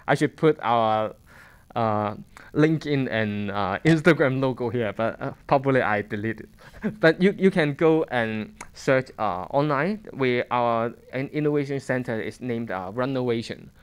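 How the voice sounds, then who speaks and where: A man in his thirties from Hong Kong with a Hong Kong accent, giving an informal seminar talk as a fund manager, halting and restarting often with small chuckles. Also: medium pitch, clear, resonant tone. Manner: halting, many restarts and chuckles, plain conversational English. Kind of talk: informal lecture to students. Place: lecture room.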